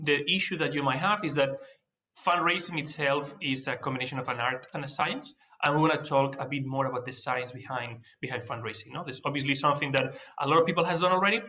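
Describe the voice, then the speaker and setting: A man's voice: mid-range voice, bright, forceful tone. Spanish guy presenting over a video call, lots of uhs and restarts, kinda muffled sound. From Spain. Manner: hesitant, many fillers and restarts. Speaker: managing director of a startup accelerator, guest speaker. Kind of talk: webinar presentation.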